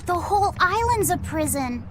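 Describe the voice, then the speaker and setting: A woman's voice: extremely high pitch, big pitch swings, moderate pace, background noise. An actress playing an earnest, polite young woman exorcist in a video game cutscene. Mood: earnest, wondering. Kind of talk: animated game cutscene acting. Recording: background music over dialogue.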